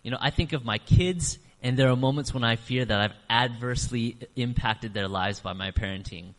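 Man, pastor preaching, earnest, slows for emphasis, mid pitch, long church reverb. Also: fairly bright tone. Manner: earnest, varies emphasis, slows on key phrases. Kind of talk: sermon. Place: church sanctuary.

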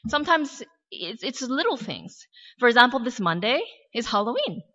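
A woman's voice: on the high side, very animated, moderate pace, pushed and bright, in a large hall. A woman pastor with an American accent giving a conversational sermon, reflective and full of questions, with light humorous asides. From born in Korea, raised in the US. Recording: dull lo-fi recording.

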